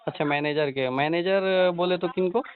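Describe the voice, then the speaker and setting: High-voiced male interviewer on a tinny phone line. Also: moderate pace. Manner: probing, repeats answers back. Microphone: phone call. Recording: thin narrowband phone call recording.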